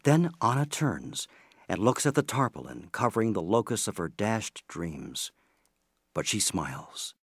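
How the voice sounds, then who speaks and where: A man narrating an audio play in a scripted, measured storytelling manner. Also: lowish voice, very animated, husky, no room sound, studio quality.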